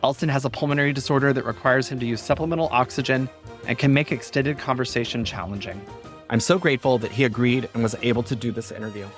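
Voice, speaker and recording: A man hosting a podcast, reading a scripted introduction and asking interview questions in a measured way. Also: medium pitch, soft background music. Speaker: podcast host.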